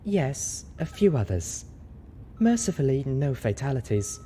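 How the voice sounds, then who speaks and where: A man voicing a haggard female pony medic, raspy, dry and snarky, in a story reading. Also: medium pitch, very animated, measured pace, dark tone, a little hiss or hum, pitched above the performer's own voice. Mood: somber. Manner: dry, somber.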